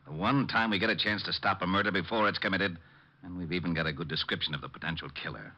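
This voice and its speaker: Deep-voiced gruff police lieutenant. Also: male voice, steady tone, quick pace, sharp tone, exaggerated voice. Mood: frustrated. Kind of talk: 1950s radio detective acting. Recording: dull vintage radio recording.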